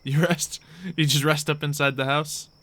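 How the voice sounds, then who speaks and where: The guy behind the screen at a friends' fantasy game night, bright-voiced and easygoing, describing the scene and chuckling. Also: a little high, little pitch movement, moderate pace. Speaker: game master. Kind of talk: narrating a tabletop roleplay game, casual.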